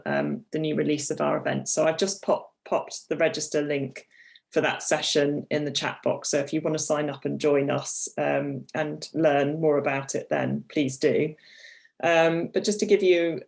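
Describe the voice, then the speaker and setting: A woman hosts an online webinar, her voice a little croaky from a cold. She chats through the details at an easy pace, filling pauses with ums and the odd cleared throat, heard through a video call mic. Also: low-pitched. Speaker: webinar host. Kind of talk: presenting a product webinar.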